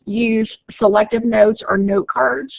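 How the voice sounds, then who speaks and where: Brief: woman instructor, Oklahoma accent, gravelly voice, chatty lecture over a phone-like line. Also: on the high side, steady tone, slow, no echo. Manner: chatty, reads out student comments, laughs easily. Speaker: college instructor. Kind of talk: live online lecture.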